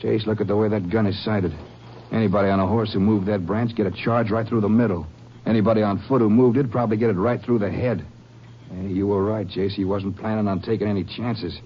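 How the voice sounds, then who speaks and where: A man's voice: lowish voice, steady tone, fairly quick, close to an everyday voice. Actor as a folksy lawman sidekick, muffled old radio. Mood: indignant, alarmed. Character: plain-spoken male Ranger sidekick who knows the country. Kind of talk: 1950s radio drama acting. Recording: noisy, muffled old-time radio recording.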